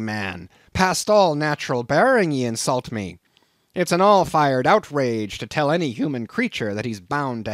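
A man's voice: medium pitch, dry recording, studio quality. A man voicing a hot-tempered old sea captain in a storybook reading, thundering in archaic sailor speech. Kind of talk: audiobook character acting.

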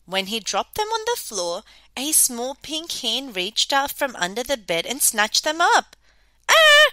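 A woman reading a children's story aloud as an expressive storyteller. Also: mid-range voice, no room sound.

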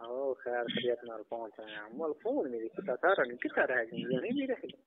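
A man's voice: medium pitch, very animated, normal speed. A man with a Saraiki accent chatting casually and intimately as a caller on a recorded phone call. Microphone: telephone line.

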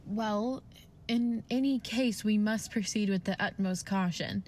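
A woman's voice: medium pitch, unhurried, darkish tone, slight background noise, natural voice. A woman playing a serious, cautious doctor in an old-time radio play, earnest and questioning. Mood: wary. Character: cautious, serious female doctor, alarmed skeptic.

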